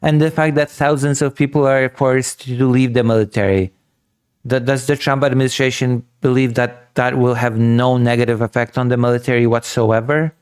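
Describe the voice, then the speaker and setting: A man's voice: mid-range voice, steady tone, moderate pace, clear, resonant tone. A man hosting a political commentary show who repeats his points emphatically. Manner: emphatic, repetitive.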